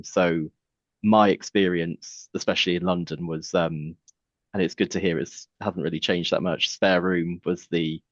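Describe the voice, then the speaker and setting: A man in his thirties from Cardiff speaking as a panel guest, recounting things conversationally in a halting, measured way. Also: deep voice, dry recording, muffled recording. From Cardiff, Wales. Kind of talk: conversational webinar account.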